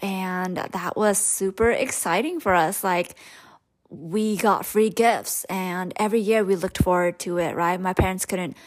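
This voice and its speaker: A woman's voice: lowish voice, measured pace. Woman with Hmong as her first language, rambling. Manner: candid and rambling, many fillers like "um" and "like". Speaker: podcast host. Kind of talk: personal storytelling monologue.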